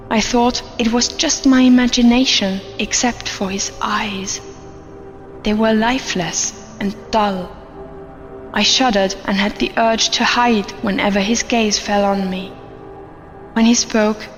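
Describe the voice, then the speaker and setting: A woman playing a haunted, fearful office worker, narrating an audio horror drama in a grave, deliberate way. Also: mid-range voice, natural voice. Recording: long reverb, dull sound, music behind.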